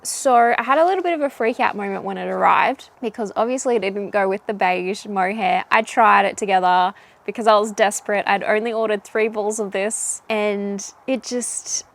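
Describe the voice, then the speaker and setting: A woman with an Australian accent, chatting casually and bubbly about knitting as a vlogger, recorded outdoors on a camera mic. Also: medium pitch. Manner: chatty and bubbly, laughs often. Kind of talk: casual chat. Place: outdoors in a garden.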